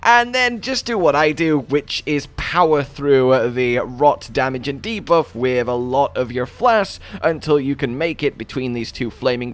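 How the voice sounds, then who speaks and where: A man giving an enthusiastic video game guide voice-over in a chatty, wordy, playfully dramatic way. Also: mid-range voice.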